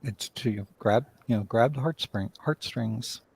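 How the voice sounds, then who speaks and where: A man's voice: medium pitch, measured pace, creaky, darkish tone, husky. A man talking casually as a playwright in a live discussion, rambling and often interrupting himself. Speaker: playwright and director, interview guest.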